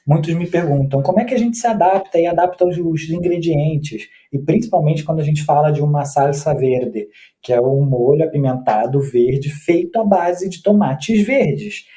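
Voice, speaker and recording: A man's voice: a little high, dark tone. A man from Mexico, a researcher and cook, explaining food history in a teacherly way. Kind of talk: conversational explanation.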